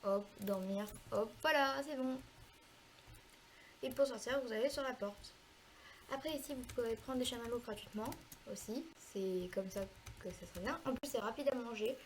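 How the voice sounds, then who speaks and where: A woman with a cold explaining a video game in a chatty, hesitant way, pausing often to fill gaps while she plays. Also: fairly high pitch, a little hiss or hum, rough recording. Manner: chatty, hesitant, frequent filler pauses. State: has a cold, congested. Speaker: gaming video creator. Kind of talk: casual gameplay tutorial commentary.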